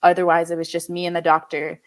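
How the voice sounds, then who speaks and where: 20s woman from quebec, french is her first language, relaxed chatty guest, fairly low voice. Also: quick pace. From Quebec, Canada. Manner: chatty, relaxed, many fillers. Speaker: podcast guest. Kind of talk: casual conversation.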